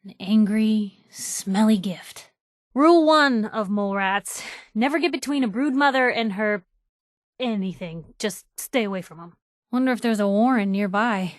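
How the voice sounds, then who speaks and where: A woman's voice: medium pitch, unhurried, no room sound, studio quality, close to an everyday voice. An actress playing a plucky young woman reporter, a video game companion with a wry, conversational, sardonic way of talking. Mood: wry storytelling.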